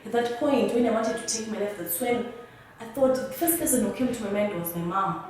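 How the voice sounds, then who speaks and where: A young woman from Zimbabwe with a Zimbabwean accent, telling her personal story in a halting, earnest way that often restarts words. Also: on the high side, a little mellow, slight background noise. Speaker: interviewee. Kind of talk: personal testimony. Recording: very echoey room, voice from a distance.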